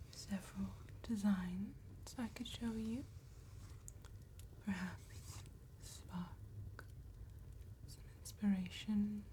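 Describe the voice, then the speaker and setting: Slow whispering seductive vampire woman, acted out by a woman. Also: medium pitch, a little hiss or hum. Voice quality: breathy whisper. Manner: slow, teasing, flattering, intimate. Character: seductive, predatory female vampire tattoo artist. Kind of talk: ASMR roleplay, soft spoken and whispered.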